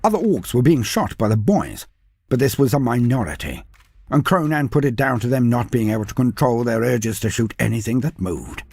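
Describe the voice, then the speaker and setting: A man narrating a scripted fantasy tale in a theatrical, deliberate storytelling manner with florid phrasing. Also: mid-range voice, very animated, creaky, darkish tone, breathy edge.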